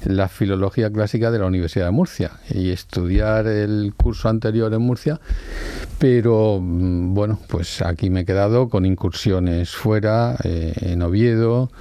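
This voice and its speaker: An older man from Spain whose first language is Valencian speaks in a low, warm tone. He answers at a measured pace, pausing with fillers and posing rhetorical questions. The sound is dry and close. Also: clear, resonant tone, dry recording. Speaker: interviewee, law professor and judge. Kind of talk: reflective interview answers.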